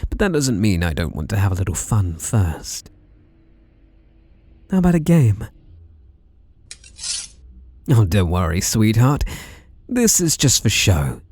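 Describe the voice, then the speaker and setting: A British man plays a masked slasher with an obsessive, possessive streak, made for intimate ASMR roleplay. He is close and dry, drawing his words out slowly. The pitch swoops widely as he teases and flirts with soft menace. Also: breathy edge, no room sound, exaggerated voice. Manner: teasing, softly menacing, drawn-out delivery with sudden swoops.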